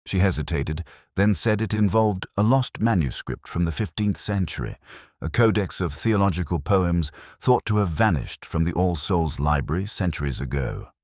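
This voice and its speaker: A man reading a scripted first-person mystery story in a measured, solemn, literary manner. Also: sits low, animated, darkish tone, dry recording, clean studio sound. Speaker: narrator.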